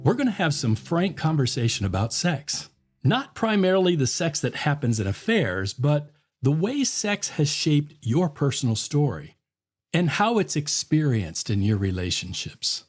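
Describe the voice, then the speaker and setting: A man hosts a podcast, reading his scripted opening in an earnest, measured way. His voice moves a lot in pitch, never flat. Soft music sits quietly behind him. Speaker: podcast host.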